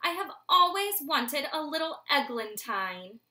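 Gentle motherly owl in a read-aloud, soft and reassuring, fairly high, a woman reading. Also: sing-song, measured pace, echoey room, full character voice.

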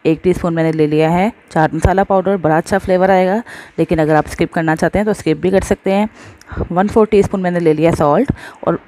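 A woman's voice: on the low side, fairly even intonation, quick pace, mellow. A woman hosting a home cooking video, explaining each step to her viewers in a friendly, patient way. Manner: friendly, addresses viewers often, mixes in English words. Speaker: cooking channel host. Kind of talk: step-by-step recipe voice-over.

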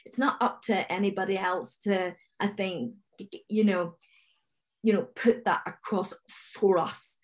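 A woman's voice: mid-range voice, unhurried. A woman in her forties with a Northern Irish accent, speaking on a video call panel and stammering openly with repeated sounds, direct and confident. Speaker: webinar panellist, finance manager. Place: home room, indoors. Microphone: video call webcam.

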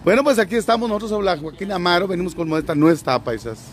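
A man's voice: high voice, expressive, rapid-fire. A middle-aged man, vlogging casually with a joking, teasing, folksy manner. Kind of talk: casual chat and street vlogging.

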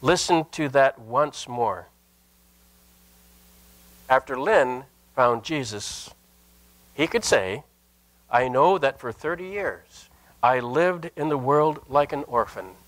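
An older man, a Lutheran pastor, preaching a sermon in an earnest, emphatic manner with deliberate pauses and repeated phrases. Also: medium pitch, lively intonation, fairly bright tone, poor audio. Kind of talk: sermon with scripture and anecdote. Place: indoor church sanctuary at a lectern.

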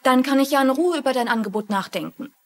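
Young farm heiress, high voice, firm, faint noise behind, crime dub. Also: female voice, lively intonation, fast. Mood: questioning. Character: firm, indignant young farmer's daughter and heiress. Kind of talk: German-dubbed TV crime drama dialogue.

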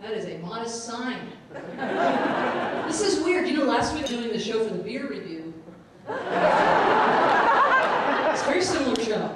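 A woman's voice: fairly high pitch, very animated, measured pace, long pauses, a loud crowd, very noisy. A middle-aged woman doing a playful, self-mocking stand-up set on stage with a handheld mic, chatting with the audience. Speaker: stand-up comedian and speaker. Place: stage in a large room with a live audience. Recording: roomy, distant, with audience laughter.

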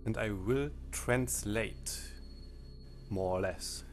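A low-voiced man whose native tongue is German speaks slowly and haltingly, stopping mid-sentence before going on. Quiet game menu music plays faintly underneath. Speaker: let's play commentator. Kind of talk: game commentary with disclaimers.

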